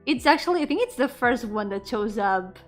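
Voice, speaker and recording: A woman with a fairly high voice chats casually on a livestream. She is effusive and giggly, looping back over her words and letting sentences trail away. Faint music plays behind her. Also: animated, brisk. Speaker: VTuber streamer and indie game developer.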